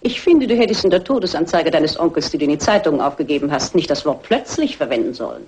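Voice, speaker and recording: Chatty aunt, swooping intonation. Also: female voice, low voice, animated, fast, darkish tone, slight background noise. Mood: artless insinuation. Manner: chatty, naive, insinuating. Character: artless, indiscreet aunt blurting family secrets. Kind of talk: German crime radio play acting.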